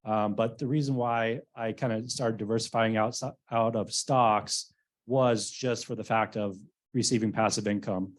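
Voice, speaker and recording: A man's voice: lowish voice, fairly even intonation, normal speed. A man speaking on a meeting panel, plain and matter-of-fact, with many ums. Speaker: panelist, real estate investor. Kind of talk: casual panel talk.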